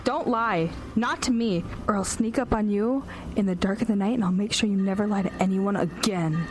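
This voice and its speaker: A woman's voice: mid-range voice, normal speed, natural voice. A woman playing a tough, bullying girl inmate in a radio drama, curt, sarcastic and threatening. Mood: menacing. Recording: music behind.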